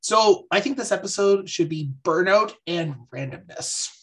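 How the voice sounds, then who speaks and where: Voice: mid-range voice, moderate pace, fairly bright tone. A podcast co-host in casual chat, upbeat and teasing, laughing often.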